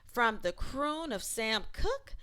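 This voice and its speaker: A woman reading aloud like an educator sharing a children's book, with deliberate and expressive phrasing. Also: a little high, clear, resonant tone.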